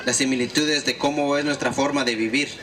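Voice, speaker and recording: Steady male interpreter, light noise. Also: medium pitch, fairly quick. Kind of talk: consecutive interpreting. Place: outdoor gathering.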